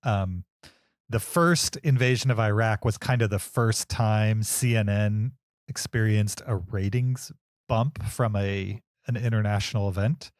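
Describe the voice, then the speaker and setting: A man's voice: on the low side, a little slow, no echo, clean studio sound. A man co-hosting a film podcast, explaining things casually in a hesitant, roundabout way.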